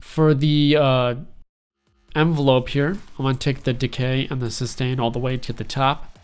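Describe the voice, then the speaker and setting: A young man with a stuffy, congested voice explaining step by step how he builds a synth sound, in a relaxed, rambling way with lots of fillers. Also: mid-range voice, normal speed, clear, resonant tone, faint music behind. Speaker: music production tutorial host. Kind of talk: casual screen-recorded software tutorial.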